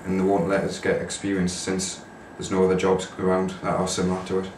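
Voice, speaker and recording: A man's voice: low-pitched, monotone, quick pace, noisy background. A nineteen-year-old man, speaking in a weary, complaining way in an interview. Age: teens. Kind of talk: casual interview answers. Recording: echoey room, distant mic.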